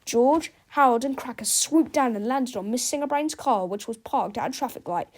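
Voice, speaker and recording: A woman's voice: a little high, moderate pace. A woman narrating a children's storybook as a read-aloud.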